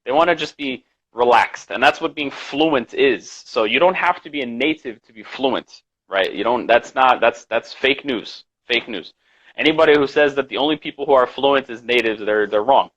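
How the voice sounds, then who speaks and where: A man's voice: mid-range voice, moderate pace, fairly bright tone, muffled recording. A man co-hosting a casual live stream chat about learning English, talking earnestly and repeating his points for emphasis over a video call.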